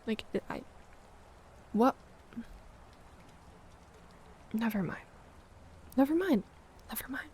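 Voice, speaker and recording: A woman playing a hurt, needy, accusing girlfriend in an intimate audio roleplay, moving between sharp reproach and hushed murmurs. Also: fairly high pitch, animated, measured pace, slight background noise. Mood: resigned, hurt. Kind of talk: intimate audio roleplay monologue, dramatic acting.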